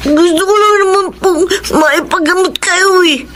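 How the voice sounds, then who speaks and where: Earnest, high-voiced young boy. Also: unhurried, a bit bright. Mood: guilty, tearful. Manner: hesitant, polite, pleading. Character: earnest poor young boy, devoted to his sick mother. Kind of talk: Tagalog radio drama acting.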